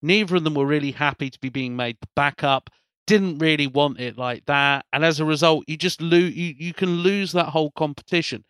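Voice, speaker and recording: A man's voice: a little high, brisk, fairly bright tone, clean tone, no room sound, clean studio sound. A man hosting a podcast in casual chat, rambling and often repeating words as he restarts his sentences. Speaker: podcast host.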